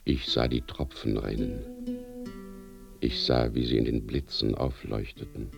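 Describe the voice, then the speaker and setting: An actor playing a brooding man who longs for his lost beloved in a lyrical radio monologue, his voice gravelly and yearning. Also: a bass voice, wildly swooping pitch, full character voice. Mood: yearning, dreamy. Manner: slow, yearning. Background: plucked guitar music.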